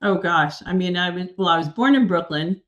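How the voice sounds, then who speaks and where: A woman from New York City, an executive coach, telling stories as a podcast guest in an animated, enthusiastic way. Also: fairly low pitch, fairly quick, highs cut off. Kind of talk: casual interview.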